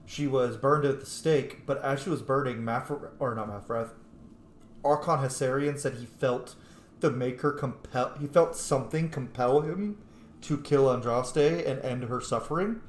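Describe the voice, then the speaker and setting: A man's voice: medium pitch, normal speed, a little hiss or hum. Roomy-sounding man giving casual game commentary. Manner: informal, enthusiastic, sweary. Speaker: game streamer. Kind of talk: casual playthrough commentary with in-character asides.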